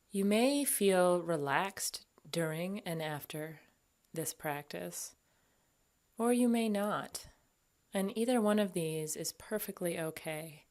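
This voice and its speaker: A woman guiding a meditation as an instructor, speaking gently and without hurry, leaving long pauses. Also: sits low. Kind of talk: guided body scan meditation.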